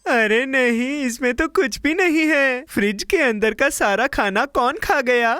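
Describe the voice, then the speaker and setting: A woman's voice: high-pitched, a little slow. A woman voicing a cheerful, impulsive, kind-hearted young boy in a playful, childlike way for a cartoon dub. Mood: hungry, eager. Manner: playful, expressive, childlike delivery. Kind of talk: cartoon dubbing. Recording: music bed under the voice.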